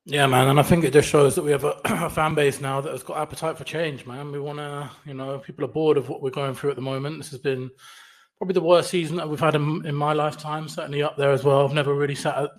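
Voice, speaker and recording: A man speaking in a casual online fan chat, quick and matter-of-fact, often adding "man". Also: a little high. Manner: quick, flat delivery, says "man" often. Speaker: fan group organiser.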